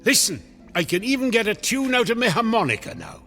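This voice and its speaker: Excitable high-voiced folksy janitor. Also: male voice, a little fast, faint music behind, full character voice. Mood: easygoing. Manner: folksy, animated, stammering when flustered. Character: excitable, good-natured male janitor, folksy underdog. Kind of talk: vintage TV comedy acting.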